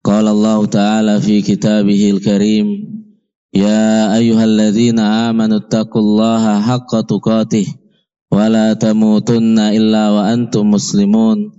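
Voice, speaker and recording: A man recites a formal sermon opening in a low, very flat, measured voice. His tone is dark and smooth, and the recording sounds dull and lo-fi.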